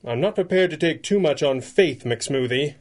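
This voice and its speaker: An actor playing a stern, formal masked hero leader in a radio drama. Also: male voice, on the high side, expressive. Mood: measured. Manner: measured, formal.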